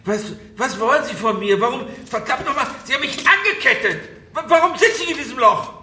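An actor playing an arrogant, blustering middle-aged banker in a radio crime drama, brusque and stammering when pressed. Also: male voice, very high voice, lively intonation, a little fast, pushed and bright, slight background noise, heavily acted. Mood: rattled, blustering.

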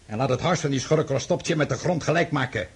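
An actor playing an imperious, overconfident French emperor in a radio drama, brusque and commanding. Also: male voice, medium pitch, fast talker, slightly rough. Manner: brusque, commanding, self-assured.

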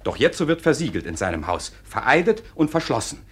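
An actor playing a sly, mockingly polite court clerk in a 1950s German radio play, with a teasing, declamatory delivery. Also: male voice, mid-range voice, sing-song, fairly quick, husky. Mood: dramatic reporting. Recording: vintage 1950s radio recording.

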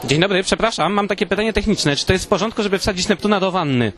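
A man's voice: sits high, quick pace, crowd noise. A man hosting a podcast, casually putting questions to passers-by in street interviews and bantering. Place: outdoors on a busy city street. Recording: noisy outdoor street with people around.

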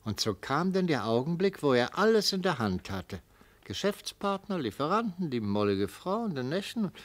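A man's voice: fairly high pitch, sing-song, moderate pace. An actor playing a retired prosecutor in a radio play, theatrical and silky, mockingly affable as he probes and savours each phrase. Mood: dramatic relish. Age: older. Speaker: prosecutor in a mock trial. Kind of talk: German radio play acting.

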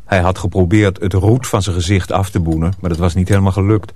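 A man narrating a radio drama in a measured, scripted storytelling manner. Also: deep voice, fairly even intonation, mellow.

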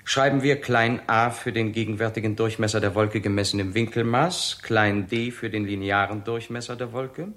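Low male voice, young methodical physicist, acted by a man, sober, precise, deliberate, 1960s radio drama. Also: steady tone, a bit bright, lightly acted. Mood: sober, analytical. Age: 20s.

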